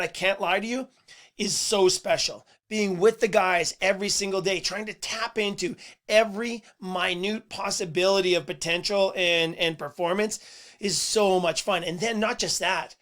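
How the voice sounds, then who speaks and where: A man hosting a sports podcast in a casual monologue. His voice is a little hoarse and he talks earnestly, with "uh"s and repeated words. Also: sits high, fairly bright tone. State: slightly hoarse voice. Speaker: podcast host.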